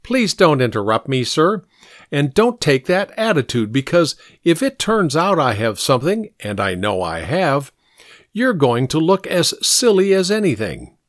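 A smug teenage boy acted out by a male narrator, pitched up and prone to squeaks. He speaks in lofty, formal phrases with indignant dignity, as in an audiobook story, with lively intonation and a dry, close sound. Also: measured pace, few pauses, no room sound. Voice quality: squeaky, breaking adolescent voice. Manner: formal, dignified, precise wording. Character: smug, lofty fourteen-year-old boy genius, indignant.

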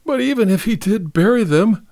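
A tearful young farm woman in a storybook, as a man reads her. She is plaintive and full of doubt, with halting phrases. The voice is lifted high and swings widely. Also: lively intonation, a little mellow.

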